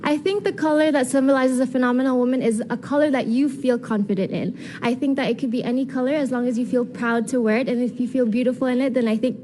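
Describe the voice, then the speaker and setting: A young woman with a Filipino accent answering pageant interview questions in an earnest, deliberate way, slipping in Tagalog phrases. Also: high voice, mellow. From Philippines. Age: young adult. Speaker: beauty pageant contestant. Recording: clearly noisy room ambience.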